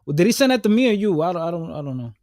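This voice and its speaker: Male panelist, slightly high voice, dry sound, casual and hesitant. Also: big pitch swings, very fast, a little mellow, no echo. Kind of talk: panel discussion.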